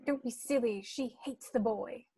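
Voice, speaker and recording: A woman's voice: a little high, a little slow, dark tone, breathy edge. A woman playing a fussy mother who dotes and snaps, in an amateur screenplay table read. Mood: snappish. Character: doting, fussy mother and snappish aunt.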